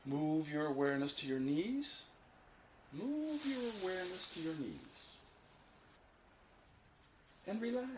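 A man leading a guided meditation, giving unhurried, repeated instructions with long pauses between them. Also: on the high side, big pitch swings. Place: informal indoor room. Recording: roomy echo, faint background noise.

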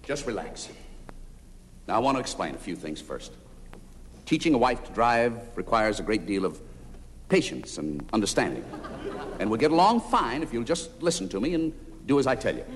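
An American actor playing a cantankerous, exasperated husband in an old-time radio comedy, bickering with sarcasm and indignation. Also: male voice, medium pitch, sing-song, normal speed, pauses often, lightly acted. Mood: forced patience. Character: cantankerous, exasperated working-class husband, quick to blow up. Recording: old noisy radio recording, echoey hall, studio audience.

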